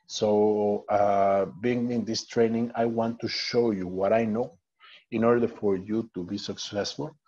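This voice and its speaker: Hesitant Mexican-accented man, husky and low. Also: a little mellow, lo-fi sound. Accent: Mexican Spanish. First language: Spanish. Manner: hesitant, many fillers and restarts. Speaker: network marketing trainer. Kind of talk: online training presentation.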